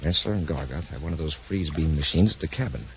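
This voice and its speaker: An actor playing a steady, resolute space patrol commander with an authoritative manner in a 1950s radio adventure serial. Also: male voice, a bass voice, fast. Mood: calm, calculating. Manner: authoritative, clipped orders. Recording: muffled, faintly noisy 1950s radio recording.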